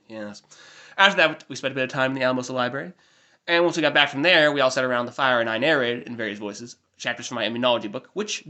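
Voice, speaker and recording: A man's voice: mid-range voice, sharp tone. A nasal young man giving a wry, rambling recap of a family trip in mock-formal wording. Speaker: vlogger.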